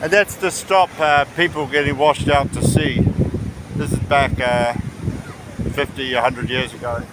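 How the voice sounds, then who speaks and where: Casting: male travel vlogger, mid-pitched, casual and rambling with mid-sentence pauses, recorded on a noisy, windy shore. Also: fairly even intonation. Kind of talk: casual walking travel commentary. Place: outdoors on a rocky seashore by tidal pools. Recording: windy outdoor recording with sea noise. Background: wind and waves.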